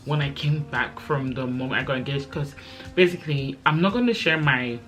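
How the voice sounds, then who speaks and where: A woman's voice: rumbling low, a little fast, fairly bright tone, soft background music. A woman in her twenties, raised in Belgium, chatting casually and rambling to camera with lots of fillers. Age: 20s. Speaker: YouTuber. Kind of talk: casual chit-chat vlog.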